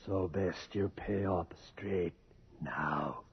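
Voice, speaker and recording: An actor playing a blunt, greedy body snatcher who talks tersely and threateningly, in a 1980s radio drama. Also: male voice, low-pitched, drawn-out words, breathy edge, heavily acted. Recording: muffled, very noisy old radio recording.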